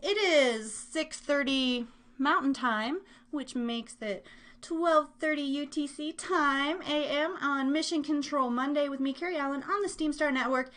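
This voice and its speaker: Female live radio host: high-pitched, playful, rambling and self-teasing chat. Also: unhurried, fairly bright tone. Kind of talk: casual live show chat.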